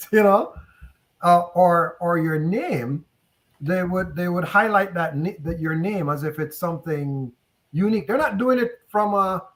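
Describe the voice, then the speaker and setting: A man's voice: high voice, lively intonation, unhurried. A man with a Jamaican accent speaking as a panelist in an online discussion, telling candid personal anecdotes in a conversational way over a video call.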